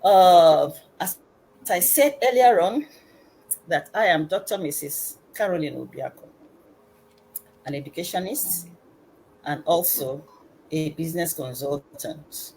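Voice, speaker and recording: A middle-aged woman from Nigeria, an educationist and business consultant, speaking formally and deliberately on an online panel. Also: low-pitched, mellow, slight background noise, low-quality recording. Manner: slow, measured, formal delivery with pauses.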